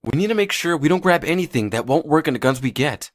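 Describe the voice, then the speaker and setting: A man's voice: fairly high pitch, lively intonation, quick pace, no echo, studio quality, lightly acted. A man playing an anxious, loyal young survivor on a supply run in a dramatic comic dub. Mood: wary. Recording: clearly noisy background ambience.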